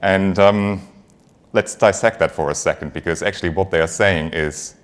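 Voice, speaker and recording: A man's voice: low voice, normal speed. A man with a German accent, a native German speaker, giving a conversational conference presentation as a finance executive, engaging the audience with light humour.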